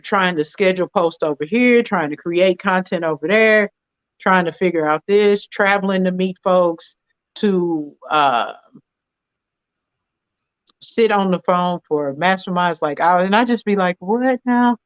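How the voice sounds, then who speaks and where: Animated woman on a muffled line. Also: a little slow, dry recording. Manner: animated, jumps high when quoting herself. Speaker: guest business owner. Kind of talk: casual chat.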